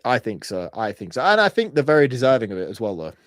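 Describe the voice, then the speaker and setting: Male podcast co-host, mid-pitched, blunt and teasing, dry close sound. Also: wildly swooping pitch, fast talker, no echo. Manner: opinionated, swears casually, teasing. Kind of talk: casual film review chat.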